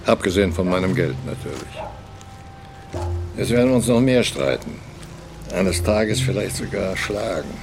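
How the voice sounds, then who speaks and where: An actor playing a bitter, self-pitying opera star in his eighties, muttering and brooding with long pauses in a radio drama. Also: animated, music in the background. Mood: aggrieved, then gloomy, defeated. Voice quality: gravelly, low. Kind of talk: audio drama acting.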